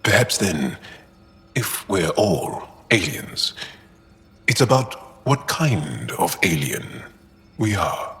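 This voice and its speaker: A man playing an earnest, guarded alien warrior and tinkerer in a video game, speaking haltingly and thoughtfully. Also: low-pitched, sing-song, a bit bright, full character voice. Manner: halting, thoughtful, measured delivery. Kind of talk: video game dialogue acting. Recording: reverberant room with music behind.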